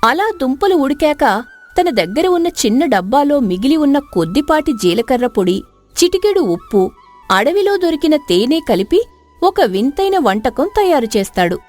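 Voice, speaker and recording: A woman's voice: high voice, sing-song, normal speed, darkish tone. A woman storyteller reading a scripted moral story as narrator. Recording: dry studio narration over music.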